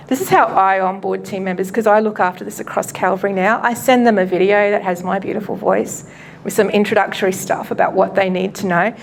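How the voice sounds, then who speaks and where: A woman pastor giving a live presentation to an audience at a launch event, loud and chatty, often laughing and poking fun at herself, her microphone cutting in and out. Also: medium pitch, vocal fry, a little hiss or hum. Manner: loud, chatty and self-mocking; laughs often while talking. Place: event venue.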